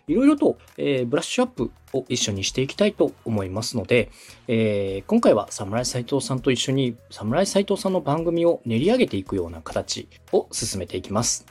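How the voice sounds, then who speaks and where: A man's voice: medium pitch, darkish tone, slightly rough. A man from Osaka hosting a podcast, coaching in a polite, methodical way as he explains things. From Osaka, Japan. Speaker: podcast host and radio director. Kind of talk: structured coaching conversation.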